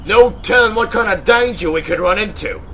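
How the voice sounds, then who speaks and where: A man's voice: high-pitched, expressive, normal speed, pushed and bright, a little hiss or hum. A man playing a brash, hotheaded, slangy extreme sports show host in a comedic audio play. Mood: cocky.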